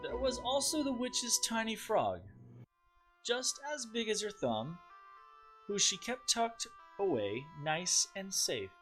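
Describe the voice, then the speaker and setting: A man's voice: sits high, sing-song, slow pace, fairly bright tone, faint music behind, pitched above the performer's own voice, exaggerated voice, poor audio. A man playing a patient father who tells a campfire fairy tale in a storybook read-aloud. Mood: storytelling.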